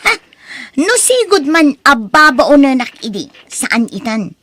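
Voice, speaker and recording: A woman's voice: high-pitched, sing-song, unhurried, bright, forceful tone, no room sound, full character voice. A woman with an Ilocano Filipino accent playing a stern, nagging wife in an audio drama, scolding and emphatic. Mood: angry, accusing. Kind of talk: radio-style audio drama acting.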